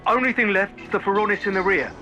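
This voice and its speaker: A man voicing a brash soldier in a video game, with loud, clipped, barking delivery. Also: sits high, quick pace, dull audio, music in the background, close to an everyday voice. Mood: brisk, scornful. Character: brash, battle-hardened male soldier barking orders. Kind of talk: video game cutscene acting.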